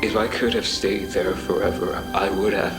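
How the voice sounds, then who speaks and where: A man acting in an audio drama as a shaken, apologetic survivor, haltingly recounting an otherworldly ordeal in a hushed, reflective monologue. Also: sits low, steady tone, natural voice. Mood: hushed awe. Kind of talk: intimate first-person audio drama monologue. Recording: roomy echo with music bed. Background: music.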